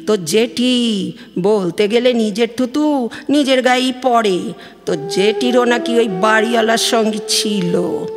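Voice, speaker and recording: A woman playing an aggrieved, gossipy middle-aged village mother from West Bengal on the phone in an audio drama, chatty and complaining, with a Bengali accent. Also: fairly high pitch. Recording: long studio reverb, music behind.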